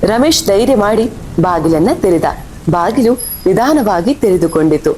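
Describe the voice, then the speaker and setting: A woman's voice: lively intonation, moderate pace, a little mellow, low-quality recording. A woman reading scripted horror story narration as the storyteller. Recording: faint rumble and rain behind voice.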